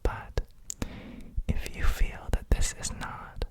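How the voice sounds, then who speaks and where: A woman whispering a guided sleep relaxation in a breathy, gentle, soothing manner, as an ASMR host with frequent pauses.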